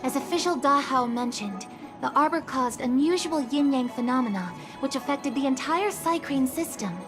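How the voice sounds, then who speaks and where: A woman's voice: high-pitched, animated, normal speed, music in the background. A woman voicing a composed young officer in a video game, formal, earnest and polite. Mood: businesslike. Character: dutiful, composed young female city officer. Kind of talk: video game English dub acting.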